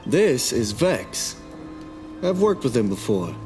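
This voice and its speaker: A man's voice: mid-range voice, big pitch swings, takes it slowly, a little mellow, faint music behind. A man playing a curt, commanding rogue leader with dry sarcasm in a sci-fi game fan dub. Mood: stern, guarded. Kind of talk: visual novel fan dub acting.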